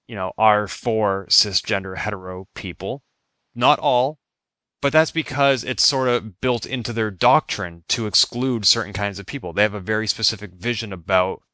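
A man from Boston hosting a podcast interview, asking measured, thoughtful questions. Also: fairly low pitch, bright, forceful tone, no echo. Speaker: podcast host. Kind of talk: interview conversation.

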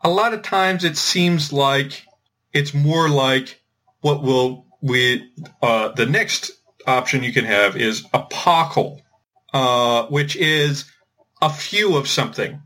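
A man explaining things informally as a podcast host, thinking aloud in a halting way with repeated words. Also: medium pitch, bright, forceful tone. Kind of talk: informal explanatory discussion of linguistics.

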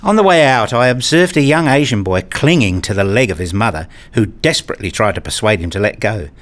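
A man reading scripted first-person narration for a radio play, telling it in a measured, literary way. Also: lowish voice, lively intonation.

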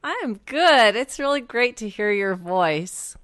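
A woman's voice: a little high, animated, a little slow, fairly bright tone, no room sound, clean studio sound. A woman co-hosting a radio show as its producer, talking in a casual, conversational way. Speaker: executive producer and co-host.

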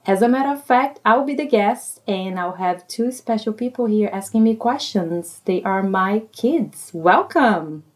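A woman in her forties from Brazil, speaking English with a Brazilian Portuguese accent and hosting a podcast in an earnest, measured way. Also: mid-range voice, animated, a little mellow, no room sound. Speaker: podcast host. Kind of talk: scripted podcast intro and casual chat.

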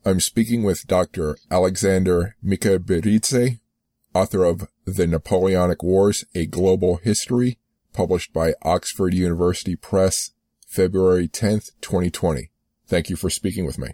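A man hosting a history podcast, giving a measured scripted introduction and asking questions. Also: low-pitched, fairly even intonation, mellow, no room sound, clean studio sound. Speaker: podcast host. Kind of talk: podcast interview.

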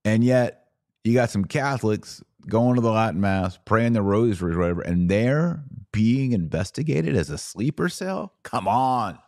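A man's voice: lowish voice, animated, normal speed, darkish tone, no echo, studio quality. A man hosting a live podcast, reading and answering viewer comments in emphatic, punchy bursts of short phrases. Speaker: podcast host.

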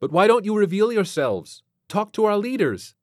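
A man voicing a brisk, irritable female lieutenant and acting captain in a story, direct and demanding. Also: sits high, big pitch swings, no echo, studio quality, pitched above the performer's own voice. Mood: questioning, urgent.

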